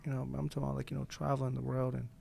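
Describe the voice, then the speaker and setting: Fast-talking young man with a gravelly voice. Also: medium pitch, completely flat delivery, dark tone. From United States. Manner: loose, slangy and profane, laughing often. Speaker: guest boxer. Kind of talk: casual podcast chat.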